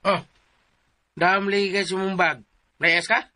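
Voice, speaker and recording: A bumbling male household helper with a Filipino accent, high and sharp in voice. He is chatty, teasing and deferential in a comic radio-play way, recorded close and dry. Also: steady tone, slow, no echo, heavily acted. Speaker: radio drama actor. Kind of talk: comic radio drama acting.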